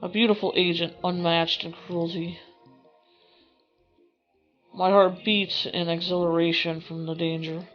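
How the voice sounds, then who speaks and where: A woman's voice: lowish voice, level tone, unhurried, long pauses. A woman game streamer reading visual novel narration aloud in a theatrical way. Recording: dull audio with faint music.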